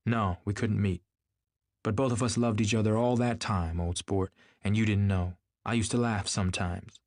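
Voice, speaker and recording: A man voicing an earnest, lovestruck gentleman in an audiobook, polite yet emphatic and insistent. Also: lowish voice, fairly even intonation, moderate pace, dry recording, studio quality, lightly acted. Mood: quietly triumphant.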